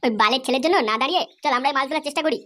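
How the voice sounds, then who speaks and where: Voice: high voice, very fast, very noisy, lightly acted. A performer voicing a crude young village sidekick in a comedy cartoon, egging the others on. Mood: eager, crude.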